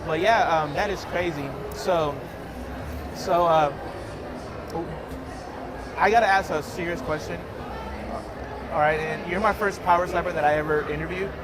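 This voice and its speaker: Rambling man host, loud noise behind. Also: a little high, steady tone, moderate pace, long pauses. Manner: rambling, lots of fillers and repeats. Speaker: interview host. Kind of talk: casual interview. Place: casino, busy public area.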